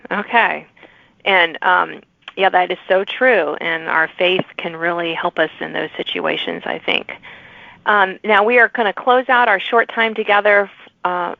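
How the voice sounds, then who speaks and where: A woman hosts a podcast interview in a friendly, conversational style. Her voice sits at a middle pitch. The sound is narrow and phone-like, with very little room echo. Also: unhurried, creaky. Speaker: podcast host interviewing authors. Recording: muffled band-limited phone-like call audio.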